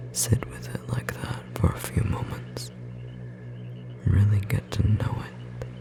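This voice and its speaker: Slow whispering, softly confident storm sorcerer, acted out. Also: deep voice, heavily acted. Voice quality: breathy whisper with a slightly rough, creaky edge. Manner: slow, soothing, intimate guiding delivery. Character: confident, gently boastful, tender storm sorcerer and romantic partner. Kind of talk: whispered ASMR roleplay.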